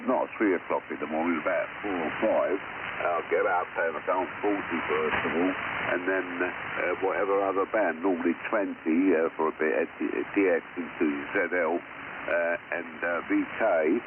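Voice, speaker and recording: A retired older man on an amateur radio net, talking in a rambling, hesitant way and spelling call signs phonetically. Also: deep voice. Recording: tinny shortwave radio with static.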